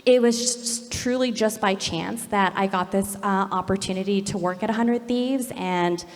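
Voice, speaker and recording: A woman's voice: mid-range voice, normal speed. Candid woman on a panel in a hall. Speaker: panelist, gaming company executive. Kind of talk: panel discussion. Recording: long hall reverb.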